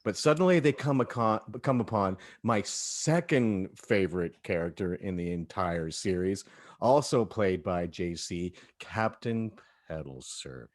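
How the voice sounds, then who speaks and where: A man's voice: deep voice, lively intonation, unhurried. A man hosting a casual cast discussion about an audio drama, enthusiastic and prompting the others.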